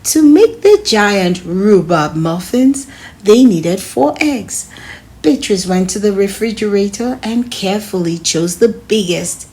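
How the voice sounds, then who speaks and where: A woman reading a children's story aloud in an expressive way, speaking to her young listeners as a host. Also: medium pitch, slow pace. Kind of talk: storybook read-aloud narration.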